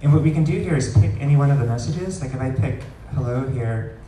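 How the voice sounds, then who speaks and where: Dark-voiced man presenting in a roomy space. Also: medium pitch, quick pace. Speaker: presenter demonstrating software. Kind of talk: live product demo talk. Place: large wood-ceilinged meetup room, indoors. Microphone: far camera recording the room. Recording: roomy, distant pickup with faint noise.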